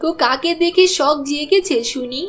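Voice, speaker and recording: A woman plays a caring village wife and mother for a cartoon. Her voice sits well up high, and she speaks in a homely, indulgent way. Faint music runs behind it. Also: moderate pace, lightly acted. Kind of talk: cartoon dialogue voice acting. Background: background music.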